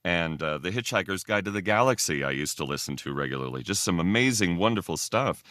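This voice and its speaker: A man chatting casually as a podcast guest, enthusiastic in a halting, rambling way. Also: sits low, lively intonation, a bit bright, dry recording, studio quality.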